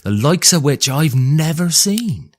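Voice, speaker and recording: A man playing a loving, gentle woodcutter father in a fairy tale, warm and declamatory. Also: on the high side, very animated, takes it slowly, voice pushed up, exaggerated voice. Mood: joyful, proud. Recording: music under the voice.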